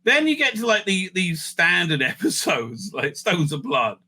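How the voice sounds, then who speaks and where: A man with a very bright, forceful voice pitched a little high. He talks casually as a video host, thinking out loud as he ranks things. He often breaks off and restarts his sentences in a loose, rambling way. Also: huge pitch swings, unhurried. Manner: rambling, thinking aloud, self-interrupting. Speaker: video host ranking TV stories. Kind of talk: casual, off-the-cuff ranking commentary.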